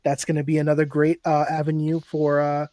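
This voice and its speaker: A man's voice: a little high, completely flat delivery, brisk, mellow, no room sound, studio quality. A man hosting a casual interview podcast, easygoing and appreciative as he talks with his guest. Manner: easygoing, appreciative wrap-up talk. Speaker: podcast host.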